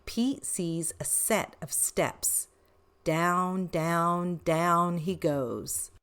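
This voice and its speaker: A woman reading a children's picture book aloud in an expressive, deliberate way with emphasis on short phrases. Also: on the low side, background noise. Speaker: read-aloud storyteller for children.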